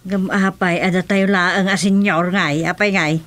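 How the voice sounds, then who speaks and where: An actress with an Ilocano accent playing a wary, easily startled village senior in a rural comedy radio drama. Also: female voice, fairly low pitch, steady tone, unhurried, a bit bright, no room sound. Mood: doubtful. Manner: reactive, questioning, colloquial.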